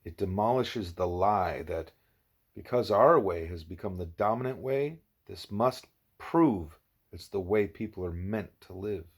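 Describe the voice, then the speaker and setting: Audiobook pupil, a young girl, read by a man in his own lowish voice: earnest, thoughtful, questioning. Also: a little slow, slightly rough, exaggerated voice. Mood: earnest, reflective.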